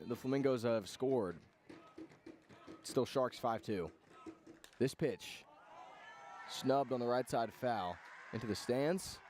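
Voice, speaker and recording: A man's voice: mid-range voice, darkish tone. A man calling live baseball play-by-play on the radio in clipped phrases, following the action as it unfolds.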